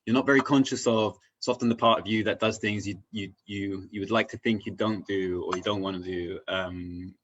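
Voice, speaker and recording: A man with a fairly low voice speaks in a casual, reflective way, as an interview guest would. He pauses and restarts as he searches for the right words. The recording is slightly dull and lo-fi, like an online call. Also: fairly even intonation, fairly bright tone.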